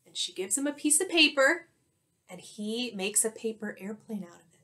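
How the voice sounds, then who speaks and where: A woman's voice: a little high, animated, moderate pace, sharp tone. A woman in her thirties, a teacher, reading a picture book aloud expressively and explaining it to children. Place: small indoor room at home.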